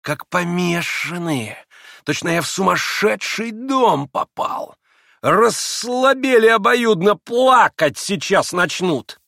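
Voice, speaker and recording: A man's voice: expressive, slow, vocal fry, sharp tone, dry recording, studio quality, exaggerated voice. A man doing a spiteful, sneering young man. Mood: scornful. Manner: drawling, taunting, shrill. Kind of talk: audiobook character reading.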